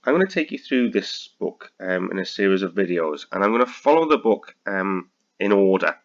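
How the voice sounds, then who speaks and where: A British man with a very low, gravelly voice that is a bit croaky today, talking students through a spreadsheet lesson. He hesitates often and restarts his sentences. The audio is dull and lo-fi, like a simple computer mic. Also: big pitch swings, normal speed. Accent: British English. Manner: hesitant, many ums and restarts. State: slightly croaky. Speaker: accounting tutor. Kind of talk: screen-recorded software tutorial.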